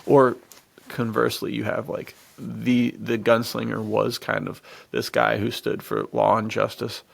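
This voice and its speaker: Extremely creaky-voiced man, chatting casually. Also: medium pitch, a little slow, dry recording. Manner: chatty, rambling, slangy, amused. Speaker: podcast co-host. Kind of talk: casual podcast discussion.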